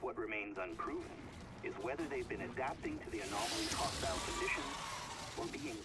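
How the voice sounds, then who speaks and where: An actor playing a learned, gloomy scientist companion in a video game, formal and measured in delivery. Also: male voice, medium pitch, lively intonation, natural voice. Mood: analytical. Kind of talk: video game dialogue acting. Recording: distant voice with clear background noise.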